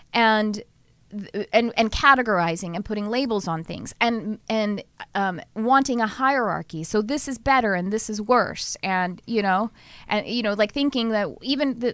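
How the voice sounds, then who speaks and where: A woman with a Texas accent hosting a podcast, talking casually and lively, often laughing and speeding up in bursts. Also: mid-range voice, clear, resonant tone, no room sound. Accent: Texan. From Southern US. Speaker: podcast host and channeler. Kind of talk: casual conversational podcast chat.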